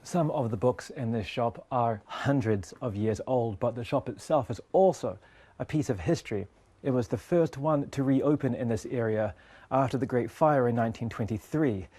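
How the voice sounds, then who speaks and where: A man reporting as a television news correspondent, in measured broadcast delivery for pieces to camera and packaged stories. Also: mid-range voice, warm tone, no room sound.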